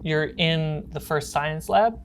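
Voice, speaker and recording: Low-voiced woman running a tabletop horror game. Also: takes it slowly, music in the background. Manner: informal, descriptive storytelling. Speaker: game master. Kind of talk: narrating a tabletop horror role-playing game.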